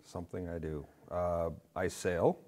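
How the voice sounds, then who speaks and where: A man in his forties talks casually as a guest. His voice is fairly low, and he rambles in an offhand manner into a lapel mic. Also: little pitch movement, measured pace, darkish tone. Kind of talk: casual chat. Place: indoor studio set with curtains.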